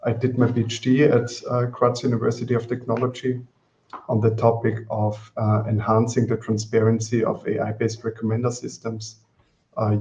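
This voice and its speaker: A man's voice: lowish voice, dark tone. A man, introducing himself as a research manager on an online panel. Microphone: headset on a video call. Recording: dull video-call audio.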